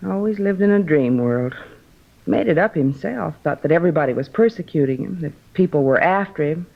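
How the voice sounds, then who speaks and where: Anxious apologetic sister in a 50s radio drama, crackly creaky voice, rambles a bit, muffled sound. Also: female voice, low-pitched, animated, brisk, full character voice. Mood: regretful. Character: worried, guilt-ridden sister of a troubled man. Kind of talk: 1950s radio drama acting. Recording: dull, muffled vintage radio recording.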